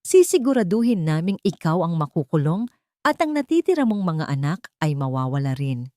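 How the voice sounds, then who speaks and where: A woman's voice: lowish voice, big pitch swings, normal speed, warm tone, dry recording, studio quality. A woman voicing a cold, threatening lawyer in an audiobook drama, with a menacing manner.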